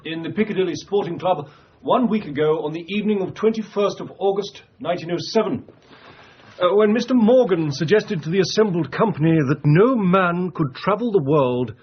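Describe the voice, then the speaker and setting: An actor playing a meticulous, formal lawyer in a period radio drama, speaking in precise, measured phrases. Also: male voice, high voice, lively intonation. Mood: formal, officious. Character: formal, meticulous male lawyer reading out wager terms. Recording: dull lo-fi radio copy, faint hiss.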